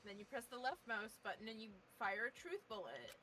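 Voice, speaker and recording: Higher-voiced woman guiding a gamer. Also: big pitch swings, brisk, pushed and bright, slight background noise. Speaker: co-commentator guiding a player. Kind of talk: casual game stream chat, tutorial tips. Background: clear game music.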